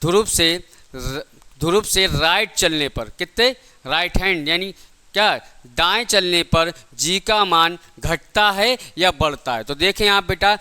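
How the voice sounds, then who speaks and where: A man's voice: sits high, a little slow, sharp tone, clean tone. A man teaching a lecture in a didactic way, repeating points and asking rhetorical questions. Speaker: physics teacher for exam coaching. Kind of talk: classroom lecture.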